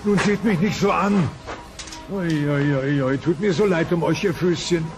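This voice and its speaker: A man's voice: on the high side, moderate pace, natural voice. An actor playing a blustering, sentimental dinosaur father in a German cartoon audio play, speaking emphatically. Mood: earnest, devoted. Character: male dinosaur family father, blustering, sentimental, soft-hearted. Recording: music bed behind the voice.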